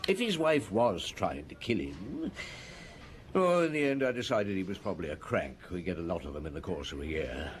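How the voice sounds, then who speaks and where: An actor playing a shrewd, grumbling middle-aged police detective in an audio drama, musing and questioning in a dry manner. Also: male voice, low-pitched, sing-song, normal speed. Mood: wry, musing. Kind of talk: audio drama acting with narration.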